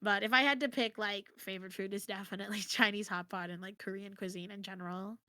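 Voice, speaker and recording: American girl guest on a stream, casual chat, expressive, really bright high voice, dry close sound. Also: fast, no echo. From United States.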